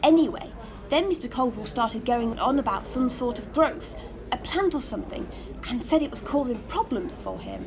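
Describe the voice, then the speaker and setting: Woman acting high, lilting, prim receptionist. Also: expressive, moderate pace, noisy background, close to an everyday voice. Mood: coy gossiping. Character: genteel, gossipy woman hotel receptionist. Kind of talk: audio drama dialogue.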